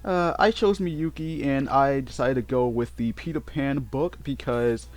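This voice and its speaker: A man giving casual, unscripted game commentary in a halting way, often repeating and correcting himself as he goes. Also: medium pitch. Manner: halting, repetitive, self-correcting. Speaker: let's play host. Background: game music.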